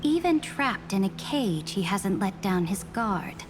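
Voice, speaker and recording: A woman's voice: medium pitch, sing-song, a little slow, noisy background. A woman playing a proud, disdainful monarch with a regal, formal manner in a dramatic anime game. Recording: loud background music.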